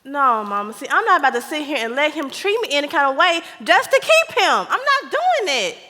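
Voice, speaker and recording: A woman's voice: high-pitched, sing-song, brisk, sharp tone, exaggerated voice. A woman acting an indignant, stubborn married daughter in a stage play, with an American accent and an exclamatory, defensive delivery. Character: indignant, stubborn young married daughter arguing with her mother. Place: live stage in a theater. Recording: echoey theater hall with long reverb.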